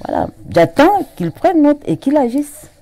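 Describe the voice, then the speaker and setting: Cameroonian French woman, TV guest, slow and halting, warm dark tone, dry sound. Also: high voice, sing-song. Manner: halting, many repetitions and restarts. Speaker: regional councillor, guest. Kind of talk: TV studio interview. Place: TV studio set.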